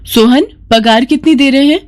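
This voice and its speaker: A woman's voice: high voice, normal speed, pitched above the performer's own voice, close to an everyday voice. A woman voicing a scheming, manipulative sister-in-law in a cartoon story, sly and persuasive. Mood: falsely sweet. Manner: sly, persuasive, put-upon. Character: scheming, manipulative sister-in-law who wheedles her husband.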